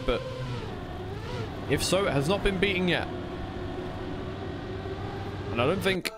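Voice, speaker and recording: A man giving live esports racing commentary in a conversational way, often second-guessing himself. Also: medium pitch. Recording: clear background noise of vehicle sounds.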